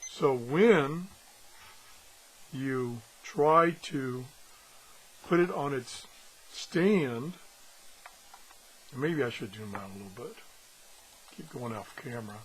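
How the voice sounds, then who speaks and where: A man's voice: mid-range voice, animated, takes it slowly, long pauses, slight background noise, rough recording. A man giving a casual, unscripted product demonstration as a reviewer, rambling and thinking aloud with broken-off asides. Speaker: radio reviewer.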